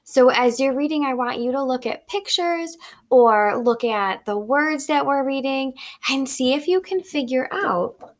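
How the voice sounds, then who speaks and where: A woman teacher reading a picture book aloud to children, expressive and instructive, pausing to ask questions. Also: high-pitched. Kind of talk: read-aloud lesson, storytelling with questions to children.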